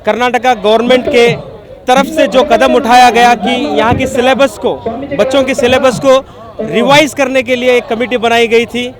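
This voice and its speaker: High-voiced fervent man at a street protest. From Karnataka, India. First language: Kannada. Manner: fervent, accusatory, rally-style delivery. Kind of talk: impassioned protest statement to a reporter. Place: outdoor street protest in a city. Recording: street protest crowd behind.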